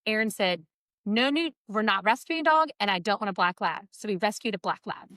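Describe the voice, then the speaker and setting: A woman's voice: mid-range voice, lively intonation, brisk, fairly bright tone, no room sound, studio quality. Nasal woman co-hosting a podcast. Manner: chatty, joking, self-mocking. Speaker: podcast co-host. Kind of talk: casual podcast chat.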